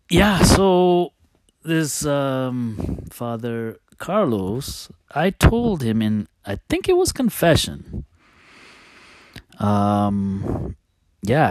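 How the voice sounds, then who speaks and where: A man talking casually as a host, telling personal stories in a halting, hesitant, confiding way. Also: fairly low pitch, darkish tone, dry recording.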